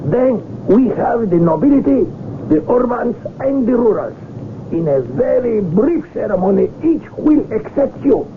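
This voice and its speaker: An actor playing a solemn, ceremonious colonel in a 1970s radio drama, speaking in a formal, stately manner. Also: male voice, extremely high pitch, big pitch swings, exaggerated voice. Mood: formal, courteous. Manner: formal, stately, measured delivery. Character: solemn, ceremonious colonel presiding over a coronation. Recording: tinny, noisy old radio drama recording.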